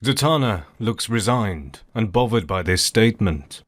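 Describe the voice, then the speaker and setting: A man's voice: on the low side, animated, unhurried. A man giving scripted narration for an audio drama as its narrator.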